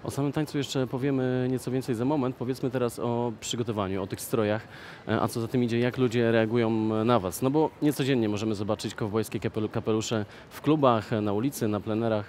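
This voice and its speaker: A young man hosting a magazine interview, speaking in a lively presenter manner with filler pauses, recorded in a shopping mall on a headset mic. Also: mid-range voice, slight background noise. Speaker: host.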